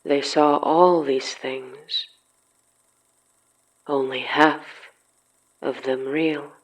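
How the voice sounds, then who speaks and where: An ominous narrator reads scripted horror at an extremely slow pace. The voice is mid-pitched and deliberate, breaking the text into short phrases with pauses between them. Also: fairly even intonation, rough recording.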